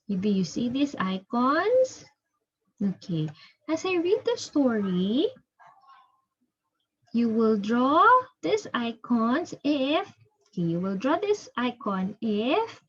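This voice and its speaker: A woman teacher giving a reading lesson, explaining step by step in a halting, repetitive way and checking understanding. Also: on the high side, breathy edge, lo-fi sound.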